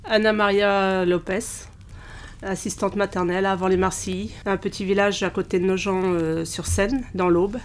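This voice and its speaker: A woman in her fifties, originally from Portugal, talks haltingly in a casual interview, with frequent pauses and restarts. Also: medium pitch, fairly even intonation. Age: 50s. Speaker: interviewee, childminder.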